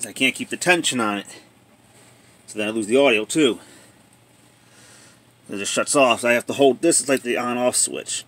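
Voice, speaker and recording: A man giving casual, plainspoken commentary on a handheld video, thinking aloud in a halting way as a hobbyist showing a repair. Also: mid-range voice, a bit bright, slight background noise. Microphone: handheld phone or camera.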